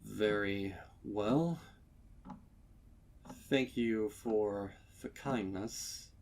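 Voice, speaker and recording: A man's voice: on the low side, a little mellow, a little hiss or hum. Man voicing an awkward, shaky, kindly stallion. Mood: gentle concern. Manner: hesitant, halting.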